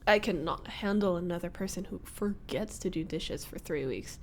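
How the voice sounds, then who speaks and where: A woman's voice: fairly low pitch, moderate pace, a little mellow, a little hiss or hum, close to an everyday voice. A woman voicing a flustered young former bully in a scripted roleplay audio, hesitant and wry. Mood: wry, musing. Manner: hesitant, sighing, nervous laughs, wry muttering.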